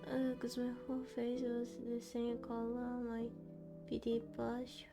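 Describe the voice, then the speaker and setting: High-voiced woman livestreamer, slow and halting. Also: completely flat delivery, gravelly. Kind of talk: casual game stream chat, reading along. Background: game music.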